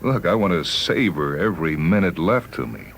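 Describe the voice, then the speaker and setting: A man's voice: deep voice, sing-song, moderate pace, vocal fry, full character voice. A man playing a dying spaceman who reflects wistfully and earnestly, with a hesitant dramatic delivery, in a dramatized short story. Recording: noisy vintage radio drama recording.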